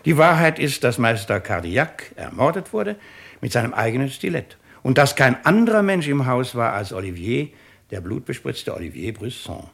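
A man playing a stern, sardonic royal judge in a 1950s German radio drama, speaking with pompous, ironic, forceful declamation. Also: mid-range voice, big pitch swings, moderate pace, creaky, heavily acted.

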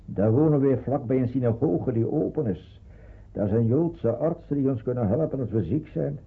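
A man's voice: medium pitch, lively intonation, normal speed. An actor playing a stern, worried middle-aged father in a radio drama, speaking firmly and emphatically. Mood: worried, persuasive. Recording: muffled, husky phone-like old radio recording.

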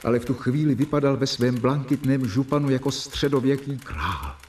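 A man narrating a radio drama in a reflective, measured way. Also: mid-range voice, darkish tone, recorded from a distance, slight background noise. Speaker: narrator reading diary.

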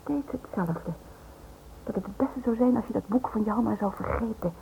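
An actress playing a shaken but strong-willed wife and mother in a radio drama, sharp-tongued and reproachful. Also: fairly high pitch, normal speed, gravelly. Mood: shaken, weary. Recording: noisy, tinny old radio recording.

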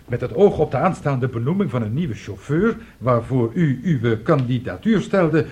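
An actor in a radio play playing a paternal middle-aged head of the household with a measured manner. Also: male voice, mid-range voice, slightly rough. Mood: pleased, formal.

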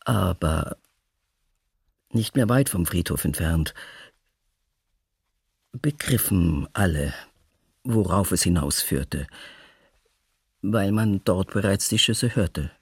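An actor playing a grave, halting witness with a raspy, gravelly voice in a documentary radio drama. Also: male voice, low voice, lively intonation, no room sound, clean studio sound, full character voice. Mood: grave, haunted.

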